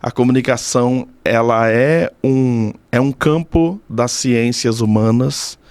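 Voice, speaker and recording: Low-voiced Brazilian man, thoughtful and close-miked. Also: fairly even intonation, takes it slowly, darkish tone, smooth, dry recording. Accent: Brazilian Portuguese. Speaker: podcast guest, doctoral student in communication. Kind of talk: casual, reflective interview talk.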